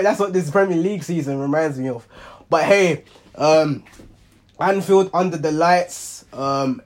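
Young male football reaction host, hoarse and under the weather; casual, rambling delivery with a slightly high voice. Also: a little mellow. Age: young adult. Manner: rambling, many ums and self-corrections. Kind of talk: casual monologue.

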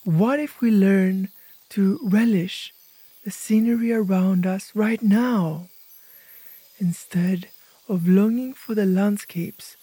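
A woman narrating a guided imagery meditation as host, speaking gently in short, hushed phrases with pauses. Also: medium pitch, slightly rough, no echo, poor audio.

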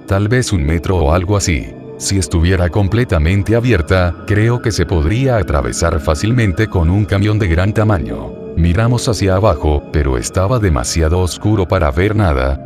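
A man reading a scripted first-person horror story as its narrator. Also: low-pitched. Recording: music bed under the voice. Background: background music.

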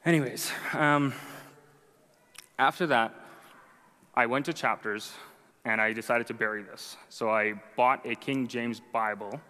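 A man's voice: mid-range voice, fairly even intonation, unhurried, long pauses, sharp tone, in a large hall. A young man whose first language is Arabic, telling a personal faith story to an audience in a conversational, self-deprecating way with frequent "right?" asides. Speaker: speaker sharing a conversion testimony.

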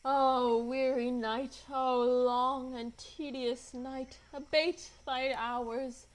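A woman's voice: high-pitched, flat delivery, extremely slow. A woman playing a lovelorn, sorrowful young lady in a Shakespearean radio play, lamenting wearily in verse. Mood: weary, sorrowful.

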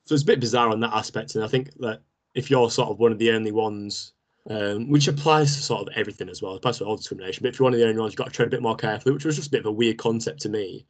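Young northern English guy, Manchester accent, relaxed banter, quick to laugh. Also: lowish voice. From northern England. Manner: chatty and joking, laughs often. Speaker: podcast co-host. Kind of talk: casual chat between friends.

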